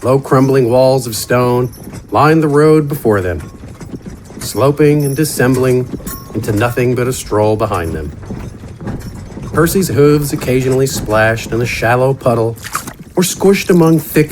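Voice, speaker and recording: A man narrating a scripted fantasy story in a measured, descriptive storytelling manner. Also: mid-range voice, lively intonation, a little mellow, a little hiss or hum. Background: horse and outdoor ambience effects.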